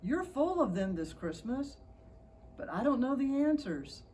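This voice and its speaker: A patient, tired mother acted by a woman narrator in a children's storybook. Her intonation rises and falls a lot, with a slightly husky tone and a gently weary manner. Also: high voice, big pitch swings, unhurried, pitched above the performer's own voice, full character voice.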